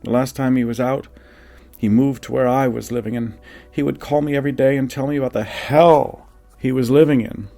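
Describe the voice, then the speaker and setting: A man's voice: medium pitch, fairly even intonation, fairly quick, gravelly, dark tone, soft background music, pitched above the performer's own voice, close to an everyday voice. A man voicing a guilt-ridden young man who confesses his pain plainly in an audiobook testimony. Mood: troubled, confiding. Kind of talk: audiobook reading of a personal testimony.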